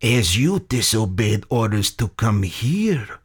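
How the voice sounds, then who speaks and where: Man acting an oily, gloating general, slow and menacing. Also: lowish voice, big pitch swings, breathy edge, full character voice. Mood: smug. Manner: sultry, theatrical, deliberate.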